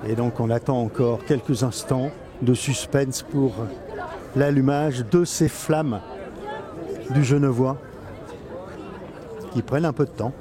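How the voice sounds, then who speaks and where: A man giving halting live event commentary as an on-the-spot reporter, often pausing and repeating himself. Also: mid-range voice, fairly even intonation. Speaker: event reporter and club president doing live coverage. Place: outdoors in a town street among a crowd. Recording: outdoor live recording amid crowd noise. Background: crowd and street sounds.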